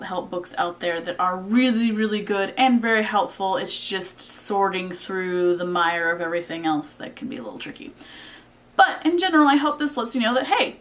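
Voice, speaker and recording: A woman's voice: mid-range voice, fairly bright tone. Casual woman chatting through a review. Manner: conversational, informal, thinking aloud. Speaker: book reviewer, vlog host. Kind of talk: casual unscripted review talk to viewers.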